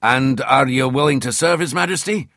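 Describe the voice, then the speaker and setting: A man's voice: medium pitch, normal speed, fairly bright tone, no room sound, clean studio sound, voice pushed up, lightly acted. A man voicing a commanding naval officer from a historical novel, clipped and authoritative as he speaks. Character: commanding male naval officer, brisk questioner.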